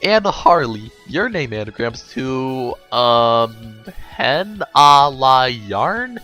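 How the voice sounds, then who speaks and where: A man's voice: medium pitch, big pitch swings, slow pace, sharp tone, heavily acted. Young girl in a storybook, voiced by a man: offhand, doubting, casual, over background music. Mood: hesitant, unimpressed.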